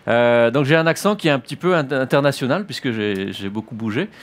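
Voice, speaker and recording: A man in his fifties from northern France with a French accent, a military chaplain giving his testimony in church, pausing often with fillers. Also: mid-range voice, animated. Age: 50s. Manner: hesitant, many "euh" fillers and restarts.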